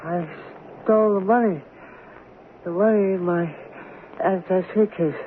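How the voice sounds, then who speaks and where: An actor playing a gravely ill, guilt-ridden stranger, pleading weakly and haltingly as he struggles to speak in an old-time radio drama. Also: sits high. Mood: desperate confession. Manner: halting, pleading, breaking off mid-phrase. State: weak, struggling to speak in pain. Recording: tinny, noisy old radio broadcast.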